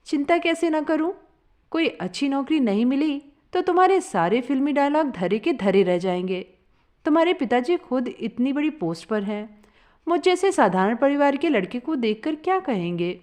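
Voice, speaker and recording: Woman voicing an earnest, animated young lover. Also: high voice, normal speed, pitched above the performer's own voice. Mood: anxious. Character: anxious, determined young man in love, modest background.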